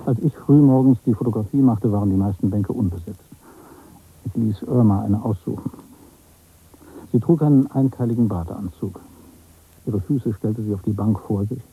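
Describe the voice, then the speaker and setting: An actor playing a detached, observant photographer who tells his story in a radio play, measured and matter-of-fact. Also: on the low side, mellow, a little hiss or hum, lightly acted, rough recording. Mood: detached, reflective. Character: detached, observant male art photographer recounting his encounters. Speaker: narrator and main character of a radio play. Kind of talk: literary first-person radio drama narration.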